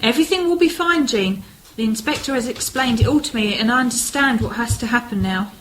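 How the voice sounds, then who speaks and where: A woman playing a composed, selfless character in a radio play, calming and reassuring those around her. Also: a little high, brisk, natural voice. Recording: noisy with clicks.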